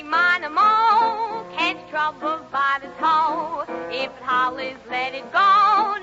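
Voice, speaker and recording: Female vintage radio vocalist, very high, singing with loud backing music on a dull old recording. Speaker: singer. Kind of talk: 1930s popular song performance.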